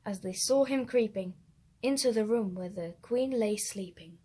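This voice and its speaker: A woman storyteller reading a rhyming children's story aloud, expressive, with deliberate emphasis. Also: mid-range voice, husky.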